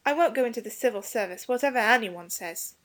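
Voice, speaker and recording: A bold, bloodthirsty young boy, voiced by a woman, pitched fairly high. He is stubborn and brash, a commanding little storybook soldier. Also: lively intonation, quick pace, fairly bright tone. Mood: defiant. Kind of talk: children's storybook character voice.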